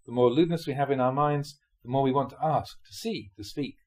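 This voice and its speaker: A man with a mid-pitched voice reads Buddhist teachings from a prepared text. His phrasing is measured and deliberate, laid out in short clauses. It has the sound of a monk narrating a spiritual audiobook. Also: darkish tone. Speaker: Buddhist monk reading translated teachings aloud. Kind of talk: scripted audiobook-style reading of dharma talks.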